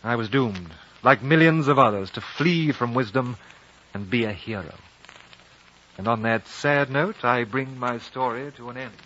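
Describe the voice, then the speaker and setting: Man acting a reflective war-veteran adventurer, mid-pitched, wistful, measured storytelling, 1950s radio drama, band-limited old recording. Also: fairly bright tone, highs cut off, slight background noise, lightly acted. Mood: wistful, resigned.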